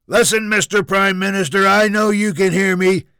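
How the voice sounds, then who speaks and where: A man performs an entitled, hot-tempered son of a powerful father in a storybook drama. The voice is raised high and bellowing, pompous and demanding, throwing its weight around. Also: fairly even intonation, normal speed, sharp tone, clean tone, no echo, studio quality. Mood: threatening, pompous.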